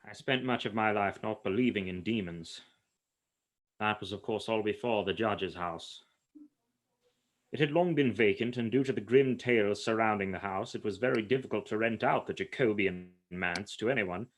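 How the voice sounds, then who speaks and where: A man acting as the grave, measured narrator of a gothic ghost story in a radio play. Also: lowish voice, natural voice. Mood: solemn. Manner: measured, formal, solemn.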